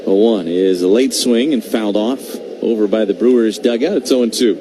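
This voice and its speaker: A man's voice: fairly low pitch, normal speed. A man doing live radio baseball play-by-play in a wry, conversational way. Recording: noisy stadium broadcast feed.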